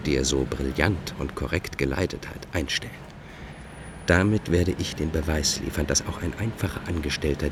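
A deep male voice plays a fugitive former clerk in a radio play. He is formal, measured and proudly cynical, over a clearly audible bed of street-like background noise. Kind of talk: German radio play acting.

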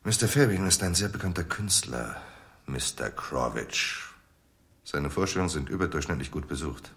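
An actor playing a reserved, formal press agent under questioning in a radio crime play. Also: male voice, a bass voice, animated, normal speed, a bit bright, full character voice. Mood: guarded, formal. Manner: formal, guarded. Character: reserved, measured male press agent and manager. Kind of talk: German radio drama acting.

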